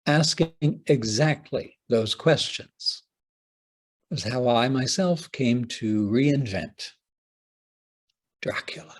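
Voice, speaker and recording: A man delivers a prepared lecture in a husky voice at a slow pace. His phrasing is theatrical and deliberate, setting words apart with dramatic pauses, like a writer presenting his own work to a conference. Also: medium pitch. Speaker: playwright giving a talk.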